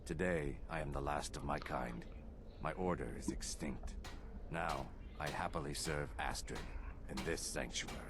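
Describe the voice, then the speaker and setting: An actor playing a reptilian assassin who speaks in a formal, measured, courteous way. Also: male voice, a bass voice. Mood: welcoming. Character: calm reptilian male assassin, last of his kind. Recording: game audio with background noise.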